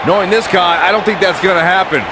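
Actor as earnest high-pitched wrestling commentator. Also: male voice, fairly even intonation, quick pace, natural voice. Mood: alarmed. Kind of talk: sports commentary. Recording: loud crowd and arena noise.

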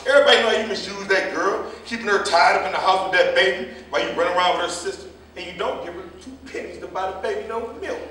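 A man's voice: high-pitched, fast, hardly any pauses, sharp tone. A man playing a gossipy, combative older jitney driver in a stage play reading, telling stories in a folksy, rambling, colloquial way. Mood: scolding. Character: gossipy, combative old male jitney driver, scolding the young. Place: theater or hall staged reading, far mic. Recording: echoey hall, distant mic, faint noise.

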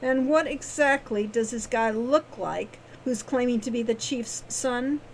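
A woman voicing an irritable, sarcastic male thief in a storybook tale, scoffing and deadpan. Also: fairly high pitch, a little slow. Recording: clearly noisy background.

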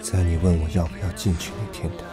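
A man's voice: low-pitched, flat delivery, fast, over loud music, lightly acted. A man acting a protective, possessive young lover in a Chinese audio drama, speaking in a restrained, intimate way. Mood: teasing, intimate.

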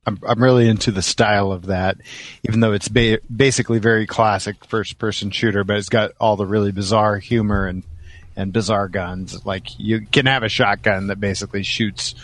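A man hosting a podcast, talking casually about video games in a halting, rambling way. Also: fairly low pitch, fairly even intonation, fairly bright tone, no echo. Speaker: podcast host.

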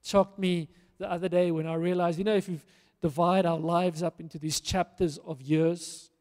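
A man's voice: high voice, fairly even intonation, normal speed, darkish tone. A middle-aged man from South Africa, preaching on a church stage into a handheld mic, earnest and rousing with emphatic repetition. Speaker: pastor. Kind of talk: sermon. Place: church stage in a large hall. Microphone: handheld microphone. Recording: long hall reverb.

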